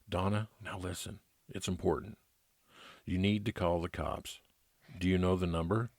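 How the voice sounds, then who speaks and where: A man's voice: low-pitched, steady tone, moderate pace, no room sound. A man playing a private detective who narrates his own case matter-of-factly in an old-time radio drama style. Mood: urgent.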